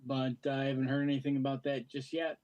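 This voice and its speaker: A man speaks as a guest in a casual chat. He is laconic and low-key, at an average pitch. The sound is slightly dull and lo-fi. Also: monotone, fast talker, a little mellow, slight background noise. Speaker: show guest and actor.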